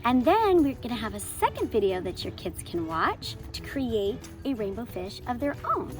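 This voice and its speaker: Lively high-voiced woman. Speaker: host of a children's read-aloud channel. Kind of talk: upbeat lesson intro and storybook read-aloud.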